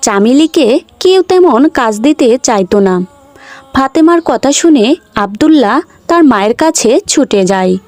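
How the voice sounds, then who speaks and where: A woman's voice: high-pitched, lively intonation, normal speed, a little mellow, no room sound, soft background music. A woman reading scripted narration for a children's story.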